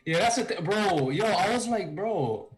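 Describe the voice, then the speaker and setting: A man chatting casually with a student breakout group on a video call, slangy and excitable. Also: sits high, unhurried, poor audio. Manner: slangy, excitable, laughs loudly. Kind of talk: casual chat.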